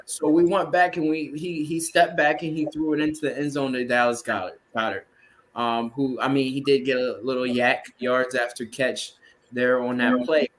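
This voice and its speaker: A man's voice: mid-range voice, little pitch movement, moderate pace, a bit bright, a little hiss or hum. A man who is a podcast guest, talking casually about football with many hesitant restarts. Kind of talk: casual sports chat.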